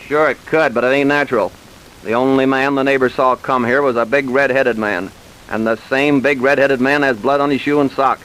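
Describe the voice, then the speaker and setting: An actor playing a bullying, hard-boiled American detective in an old-time radio crime drama, tough and sarcastic. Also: male voice, mid-range voice, steady tone, a little fast, a bit bright, lightly acted, low-quality recording. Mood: suspicious, sarcastic. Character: bullying, hard-boiled male police detective grilling a suspect. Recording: hissy old radio recording.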